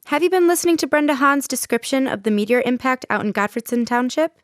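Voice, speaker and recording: A woman's voice: high-pitched, expressive, fast, no echo. A woman playing an eager, attentive studio news anchor questioning her guests in a radio drama. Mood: attentive, curious.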